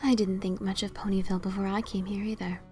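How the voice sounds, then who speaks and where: Casting: woman as a wise, enigmatic female pony, measured and formal, medium pitch, soft music underneath, fan audio drama. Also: level tone, close to an everyday voice. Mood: reflective. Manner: formal, measured, gently probing. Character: calm, wise, mysterious female unicorn pony.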